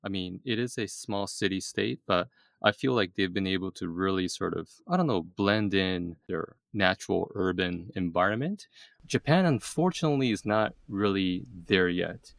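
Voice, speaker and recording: An excitable man hosting a relaxed interview, his voice low and gravelly. He talks in bursts with plenty of fillers and restarts. The sound is close and dry. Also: moderate pace, no echo. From East Asia. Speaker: podcast host. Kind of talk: casual interview chat.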